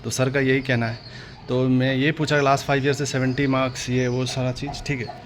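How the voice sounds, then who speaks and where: Indian male online host, casual and repetitive, limited pitch movement, faint noise behind. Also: level tone, a little fast. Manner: repetitive verbal tags seeking agreement. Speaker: exam-update YouTube host. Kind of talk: casual direct address to viewers.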